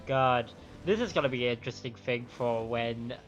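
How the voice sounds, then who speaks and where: A man's voice: mid-range voice, moderate pace, dull audio. A man giving casual live commentary as a game streamer. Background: faint game music.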